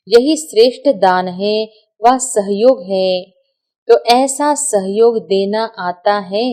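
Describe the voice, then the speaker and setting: Woman, devotional scripted reading, slow pace, mid-range pitch, measured short phrases, deliberate pauses. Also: darkish tone. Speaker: reader of a spiritual discourse.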